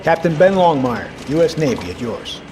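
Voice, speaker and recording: A man's voice: fairly high pitch, very animated, normal speed. An American man playing a commanding, wary Navy submarine captain in an audio drama, with clipped, authoritative military delivery. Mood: guarded, probing. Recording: clear ambient background noise.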